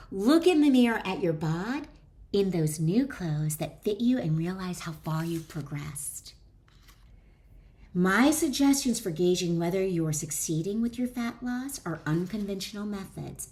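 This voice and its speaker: A woman's voice: medium pitch, darkish tone. A middle-aged woman author reads her own wellness book aloud with chatty asides, encouraging the listener directly.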